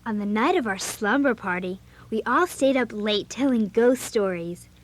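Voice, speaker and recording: A woman playing an earnest, bossy young girl babysitter in an audio drama, with an expressive, youthful way of talking. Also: fairly high pitch, moderate pace, natural voice. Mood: proud, reflective. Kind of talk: audio drama acting and first-person narration.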